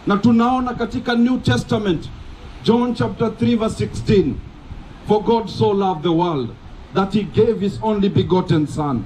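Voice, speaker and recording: A young Kenyan man preaches in the open air on a sandy street by the beach, his voice pitched high yet mellow in tone. He declaims with urgent, repetitive phrasing, heard from a camera set some distance away. Faint outdoor noise sits underneath him. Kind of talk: open-air sermon mixing Swahili and English.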